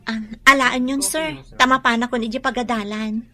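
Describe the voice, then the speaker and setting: A woman's voice: fairly high pitch, steady tone, moderate pace, pushed and bright. A woman with a Filipino accent playing an earnest, respectful young student in an Ilocano radio drama. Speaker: drama actor.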